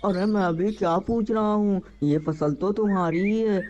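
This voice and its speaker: A man's voice: sits high, moderate pace, a little mellow, highs cut off, a little hiss or hum, lightly acted. A man voicing a lazy, greedy, scheming male crow in a children's cartoon, exclaiming and repeating words as he talks to himself. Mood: sheepish excuses. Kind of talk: children's cartoon story dialogue.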